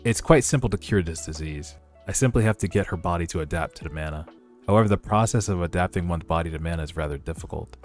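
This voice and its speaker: Determined young sorcerer for a webtoon dub, read by a man: deep, measured, earnest, music beneath. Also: darkish tone. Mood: calm, resolved. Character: reincarnated young male sorcerer, determined and calculating. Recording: music under the voice.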